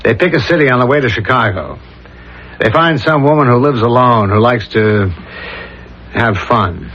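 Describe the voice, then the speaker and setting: Actor as a dogged police inspector, muffled old radio. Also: male voice, mid-range voice, a bit bright, lightly acted. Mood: reasoning aloud. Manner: probing, deliberate, methodical questioning. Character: dogged male police inspector, probing and reasoning aloud. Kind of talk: 1970s radio drama acting. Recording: dull lo-fi old radio recording.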